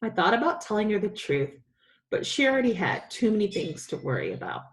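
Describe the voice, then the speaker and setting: A woman's voice: mid-range voice, wildly swooping pitch, brisk. A woman teacher reading a children's story aloud with expression.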